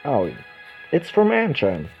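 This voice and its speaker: Slow-reading man over game music. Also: medium pitch, huge pitch swings, vocal fry. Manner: reads narration slowly with small stumbles and restarts. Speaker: let's play host. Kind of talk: reading a visual novel's text aloud. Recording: dull lo-fi recording with game music behind.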